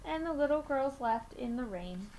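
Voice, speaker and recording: A young woman reading a picture book aloud to children, with measured, deliberate phrasing and expressive emphasis, recorded on a webcam mic in a small room. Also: high voice. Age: young adult. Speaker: children's storytime reader at a school. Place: small room at home, indoors. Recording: noisy home webcam recording.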